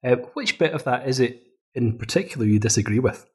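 A man's voice: mid-range voice, lively intonation, fairly quick. A man hosting an interview podcast, polite and formal as he introduces his guest. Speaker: podcast host. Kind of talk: interview host. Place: university office. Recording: roomy echo.

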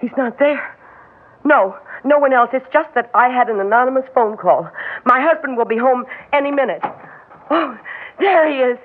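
A woman's voice: sits high, expressive, normal speed, phone-quality sound. An actress playing a frightened, anxious married woman, pleading and defensive, in a vintage radio drama. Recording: band-limited old radio broadcast recording.

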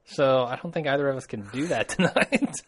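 A man's voice: mid-range voice, fairly quick, a bit bright. An American man co-hosting a podcast, chatting casually and jokingly with wry interjections. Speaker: podcast co-host.

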